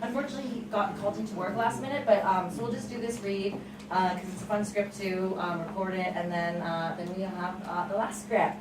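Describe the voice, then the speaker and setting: A woman's voice: mid-range voice, fairly even intonation, brisk. A woman casually hosting a script table read and introducing the pieces. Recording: echoey room, distant mic, background noise.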